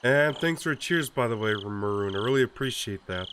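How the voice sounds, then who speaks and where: A young man with a stuffy, congested voice from a cold, reading a visual novel aloud on a live stream in a relaxed way, with frequent laughs and fillers. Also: medium pitch, normal speed, noisy background.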